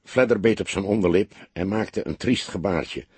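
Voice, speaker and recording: A man narrating a crime novel as an audiobook, in expressive scripted storytelling. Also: low-pitched, normal speed, breathy edge, dry recording.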